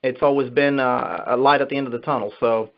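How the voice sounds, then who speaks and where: A middle-aged man speaks as a sales manager giving motivational business advice on a conference call, repeating and restarting phrases in a halting way. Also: mid-range voice, steady tone, creaky. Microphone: telephone conference line. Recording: dull, muffled conference phone call.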